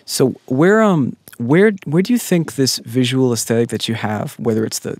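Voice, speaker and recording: A man's voice: mid-range voice, very animated, normal speed, a little mellow, no room sound, clean studio sound. Earnest man hosting an interview. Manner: enthusiastic, earnest questioning. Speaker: podcast host. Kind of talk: casual interview chat.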